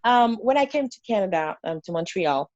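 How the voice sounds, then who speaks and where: Woman, Haitian accent, animated interview guest, muffled lo-fi sound, dry close recording. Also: medium pitch, fairly quick, no echo. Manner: animated storyteller, many fillers and restarts. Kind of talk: conversational interview.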